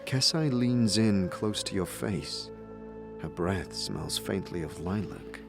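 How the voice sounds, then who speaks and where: A man reads scripted fantasy narration as a storyteller. His voice sits low and he takes his time, with lively rises and falls across the phrases. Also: slow, vocal fry, music in the background. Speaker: narrator and game character reader.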